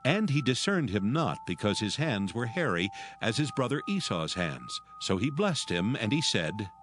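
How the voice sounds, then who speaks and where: A man narrating a dramatized scripture reading in a measured, solemn storytelling manner. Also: fairly low pitch, big pitch swings, over music.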